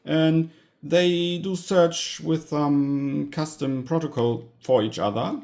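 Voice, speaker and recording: Slow-talking man with a creaky voice. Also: on the high side, a little mellow. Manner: halting, informal, pausing often mid-sentence. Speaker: tech hobbyist video host. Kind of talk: casual hands-on gadget teardown narration.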